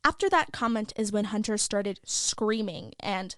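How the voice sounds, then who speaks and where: A woman's voice: mid-range voice, lively intonation, measured pace, a bit bright, no echo, clean studio sound. A woman playing a gossipy, opinionated young school news reporter, chatty and sardonic, delivering scripted podcast news. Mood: gossipy, measured. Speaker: host of a school news show.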